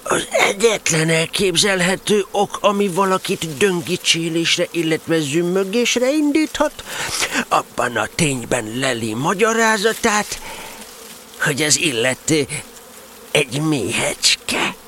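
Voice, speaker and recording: Bright high-pitched little bear. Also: male voice, big pitch swings, slight background noise. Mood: earnest pondering. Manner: ponderous, pseudo-logical reasoning. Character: naive, self-important little toy bear who loves honey. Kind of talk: children's radio play acting.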